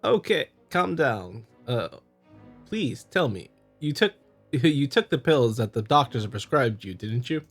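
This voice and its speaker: A man playing a smooth, scheming visual novel husband who feigns loving concern, coaxing and patronizing. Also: medium pitch, very animated, measured pace, faint music behind. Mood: placating.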